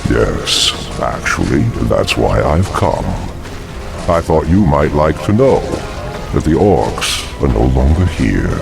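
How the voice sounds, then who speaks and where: A man's voice: extremely low pitch, sing-song, slow, vocal fry, heavily acted. A man voicing a cunning, smug demon dreadlord with a sardonic, needling delivery in a video game. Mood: smug, sly. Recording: loud music under the voice.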